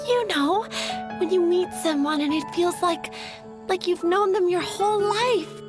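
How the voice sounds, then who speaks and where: A woman's voice: extremely high pitch, unhurried. A woman playing a lovesick young flower girl in a video game, dreamy, flustered and hesitant. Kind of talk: video game character acting. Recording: music behind the voice.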